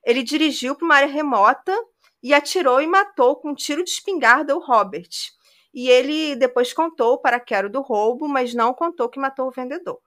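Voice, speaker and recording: Woman podcast host, bright tone, chatty crime storyteller. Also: high-pitched, brisk. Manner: conversational retelling with frequent "né".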